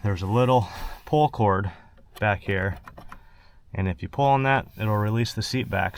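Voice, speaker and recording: A man's voice: mid-range voice, a bit bright. A man narrating a casual how-to while working in and around a parked truck, plain-spoken and instructional, in short practical phrases. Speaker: presenter of a truck installation how-to. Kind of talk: casual hands-on tutorial narration. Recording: clear background noise, clicks of handling.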